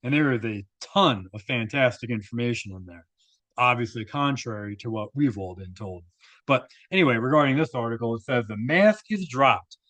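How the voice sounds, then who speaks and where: A man with a mid-pitched voice narrates in a close, dry recording. He reads written material aloud and mixes in informal, opinionated commentary, often punctuated with rhetorical asides. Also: expressive, moderate pace, a bit bright, no echo.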